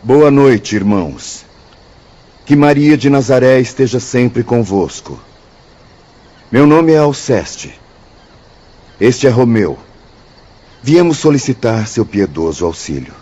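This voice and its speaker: Husky, mellow, inquisitive male spirit. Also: medium pitch, very animated, slow pace, long pauses, dull audio. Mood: courteous. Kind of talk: radio drama acting.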